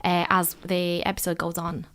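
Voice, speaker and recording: Woman, from Spain, thirties, podcast host, casual chat, smooth clear voice. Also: on the low side, monotone, normal speed, a bit bright. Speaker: podcast host and business owner.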